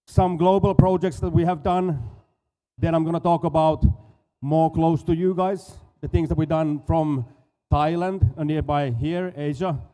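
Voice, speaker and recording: A man's voice: on the high side, mellow. Finnish-accented man giving a stage talk. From Finland. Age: 40s. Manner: halting, repeats words, casual with fillers. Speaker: conference speaker, dive school owner. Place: expo stage hall.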